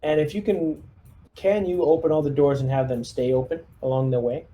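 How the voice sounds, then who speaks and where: A man's voice: on the high side, steady tone, fairly quick, muffled recording, a little hiss or hum. A man playing a tabletop roleplaying game, thinking aloud as he casually lays out squad tactics and checks in with the others. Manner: collaborative, thinks aloud, hesitant phrasing. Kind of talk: casual in-character tactical planning.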